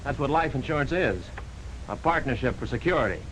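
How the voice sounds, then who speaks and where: A man's voice: mid-range voice, animated, brisk, a bit bright, lightly acted. An actor in a 1940s film playing an earnest small-town male insurance salesman, folksy, patient and persuasive. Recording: noisy 1940s film soundtrack.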